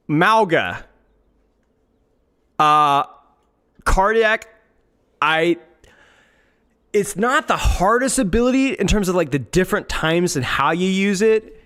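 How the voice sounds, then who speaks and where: A man's voice: sits high, bright, forceful tone. A man streaming live, chatting casually to his viewers in an exasperated, self-mocking, rambling way.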